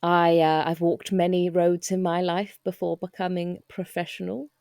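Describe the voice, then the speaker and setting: A woman playing a pompous, self-satisfied stage actress, speaking in a measured, self-amused way in a mockumentary interview. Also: lowish voice, flat delivery, darkish tone, no echo, clean studio sound, close to an everyday voice.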